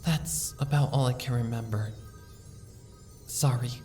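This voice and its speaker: A woman voicing a timid, sick young female pony who speaks softly and stammers as she pleads. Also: very deep voice, measured pace, recorded from a distance, soft background music. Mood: timid, shaky. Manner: soft, hesitant, stammering, pleading. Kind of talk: fan audiobook reading.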